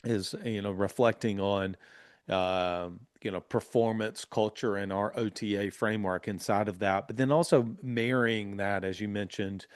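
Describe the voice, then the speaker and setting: A man hosting a business podcast, chatting casually with his guest and reading sponsor plugs in an energetic, affirming way. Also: lowish voice, normal speed, smooth, dry recording, clean studio sound. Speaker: podcast host. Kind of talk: casual interview and ad reads.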